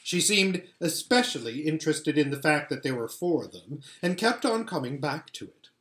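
Animated middle-aged man reading aloud. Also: fairly high pitch, moderate pace, echoey room. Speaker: storybook reader. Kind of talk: reading a children's novel aloud. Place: living or dining room at home, indoors.

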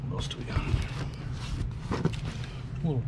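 A man in his fifties giving casual, upbeat commentary while he digs through boxes in a metal storage unit. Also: unhurried. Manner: chatty, upbeat, thinking aloud while sorting items. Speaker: storage locker reseller. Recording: loud background noise.

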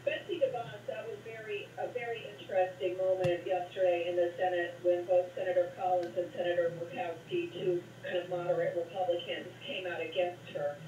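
A woman's voice: fairly low pitch, level tone, brisk, few pauses, dark tone. A woman discussing politics as a news commentator, heard from a television across the room. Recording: muffled distant television, echoey, faint noise.

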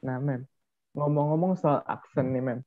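A man's voice: mid-range voice, steady tone, moderate pace, no room sound. A young Indonesian man co-hosting an online workshop in casual chat, addressing the lecturer as "Mem" and tagging his phrases with "ya". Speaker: co-host, moderator. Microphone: video call. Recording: dry, phone-like video call audio.

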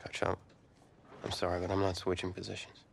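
Cocky young quarterback, gravelly edge. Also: male voice, low-pitched, monotone, a little fast, a little hiss or hum. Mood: protesting. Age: young adult. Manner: combative, self-assured. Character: cocky, competitive young male college quarterback. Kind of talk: video game cutscene acting.

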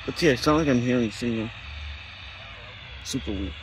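A man's voice: medium pitch, normal speed, noisy background. A man giving casual, off-the-cuff commentary as a radio hobbyist, thinking aloud in a tentative way.

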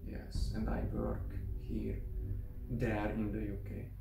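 A young man from Hungary with a Hungarian accent, talking to the camera in a casual, halting way at home. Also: on the low side, big pitch swings, creaky, roomy sound, recorded from a distance, music in the background. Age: young adult. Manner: halting, careful, with restarts. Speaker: vlogger. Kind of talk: casual vlog monologue. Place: room at home, indoors. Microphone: camera mic at a short distance.

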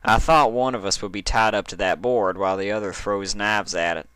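A man's voice: lowish voice, fairly even intonation, a little fast, a bit bright, smooth, dry recording, lightly acted. A man voicing a practical, self-assured female farm pony in a story reading, explaining things with huffy patience. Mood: matter-of-fact. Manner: explanatory, huffy, matter-of-fact.

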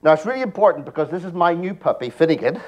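Irish guy doing a conference talk, kinda high voice, wry jokes, big hall. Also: animated, rapid-fire, muffled recording. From Ireland. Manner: joking, wry asides. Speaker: conference speaker. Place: large presentation hall.

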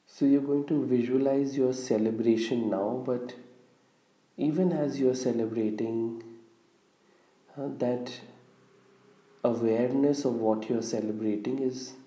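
A man with a very soft, dark voice leads a guided meditation. He speaks slowly and deliberately, leaving long pauses between phrases. The sound is roomy, with a noticeable echo. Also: mid-range voice, steady tone. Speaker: guided visualization leader. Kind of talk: guided meditation, slow instructional narration. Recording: roomy, echoing room sound.

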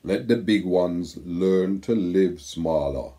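Older man from the Caribbean, slow poetic letter reading, low mellow voice, home webcam. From Saint Kitts and Nevis. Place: home room with bookshelves.

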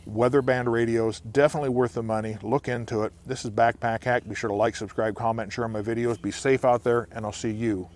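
A man's voice: medium pitch, steady tone, brisk, hardly any pauses. An American man in his sixties hosting an informal outdoor explainer, talking to camera into a lapel mic in the woods. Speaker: outdoor preparedness video host.

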